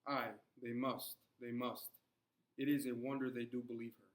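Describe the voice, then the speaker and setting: Guy doing a moody farmer husband from an old stage drama, gentle but can get indignant, medium pitch. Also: little pitch movement, normal speed, lightly acted. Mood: troubled.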